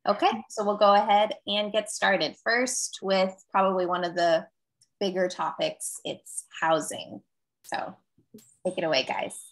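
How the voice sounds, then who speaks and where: A young woman from Northern California, a dental student co-chair, hosting an information session on a video call in a friendly, relaxed way. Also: medium pitch, measured pace, poor audio. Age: young adult.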